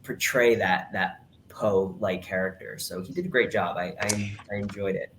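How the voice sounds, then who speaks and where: A man's voice: on the low side, steady tone, moderate pace, fairly bright tone, a little hiss or hum. A man with a slight Rhode Island accent, co-hosting a podcast and explaining things in earnest detail. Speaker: podcast co-host. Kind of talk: casual podcast chat.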